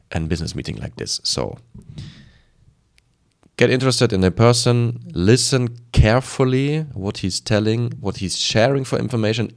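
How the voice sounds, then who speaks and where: A man with a German accent and a fairly low voice speaks as a sales coach to his viewers. His delivery is informal and emphatic. He repeats points and slips into little bits of acted dialogue. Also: gravelly. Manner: emphatic and repetitive, acting out short bits of dialogue. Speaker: sales coach presenting a video. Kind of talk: informal advice monologue to camera.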